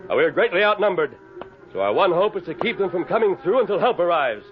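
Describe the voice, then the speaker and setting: A man's voice: sits high, very animated, fast, a little hiss or hum. An actor playing a resolute masked western lawman in an old radio drama, urgent and commanding. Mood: firm, commanding. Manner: urgent, authoritative, clipped commands. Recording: dull lo-fi vintage radio recording.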